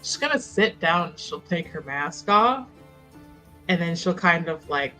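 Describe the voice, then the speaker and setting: Female tabletop player, bright clear-cut tone, leisurely rambling narration, casual and sweary, faint music underneath. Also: lowish voice, animated, a little slow, poor audio. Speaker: player in a tabletop roleplaying game. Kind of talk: casual improvised character narration.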